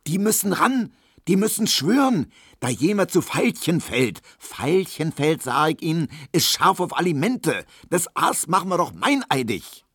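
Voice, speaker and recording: A man acts out a loud, excitable male barbershop colleague from a storybook. The voice leaps high and swoops widely, in brash, gleeful slang, very close and dry. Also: moderate pace, hardly any pauses, slightly rough, dry recording, heavily acted. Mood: scheming, agitated. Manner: shouting, Berlin colloquial speech, egging on.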